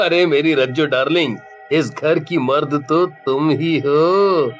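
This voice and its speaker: A man's voice: a little high, slow, a bit bright, faint music behind. A man voicing a jovial but scheming village cook and husband in a cartoon, drawling and blustery, on dull audio.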